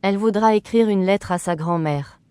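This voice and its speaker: A voice reading short phrases slowly and evenly for learners, carefully enunciated and repeated. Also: mid-range voice, a little mellow, smooth, no room sound. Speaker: French phrase reader.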